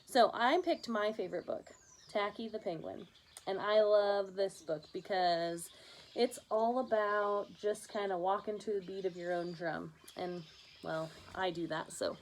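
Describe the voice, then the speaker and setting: A woman's voice: mid-range voice, slight background noise. A woman teacher reading a picture book aloud to children, narrating expressively with conversational asides. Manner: animated narration with conversational asides to young listeners.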